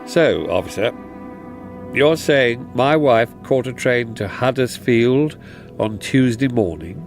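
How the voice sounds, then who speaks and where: An actor playing a diffident, bereft older politician in a radio drama, formal, measured and wistful. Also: male voice, mid-range voice, animated, soft background music. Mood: bewildered.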